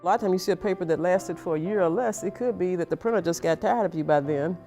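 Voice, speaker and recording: Older woman explaining over clear music. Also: fairly low pitch, fast. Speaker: retired journalism professor, interviewee. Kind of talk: explanatory interview talk.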